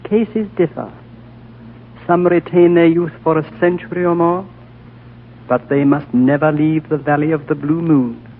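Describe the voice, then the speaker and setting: Ancient lama, tinny old radio. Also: male voice, on the high side, fairly even intonation. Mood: grave. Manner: measured, solemn, deliberate storytelling. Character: ancient, wise, gentle male High Lama. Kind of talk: 1940s radio drama acting. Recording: tinny, noisy old 1940s radio recording.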